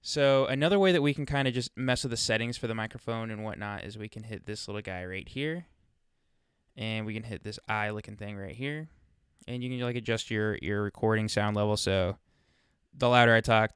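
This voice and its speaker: Smooth, low-leaning male tutorial voice. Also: a little fast, fairly bright tone, no room sound, studio quality. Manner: informal, chatty, thinking aloud while demonstrating. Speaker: tutorial presenter. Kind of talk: casual screen-recorded software walkthrough. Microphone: close USB microphone.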